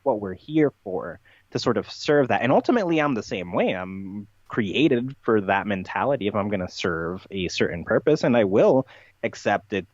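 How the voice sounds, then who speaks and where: A man's voice: fairly low pitch, lively intonation, moderate pace, dry recording, highs cut off. A man talking as a podcast guest in a conversational, rambling way, making absurd claims matter-of-factly. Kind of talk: conversational interview talk, playful tongue-in-cheek persona.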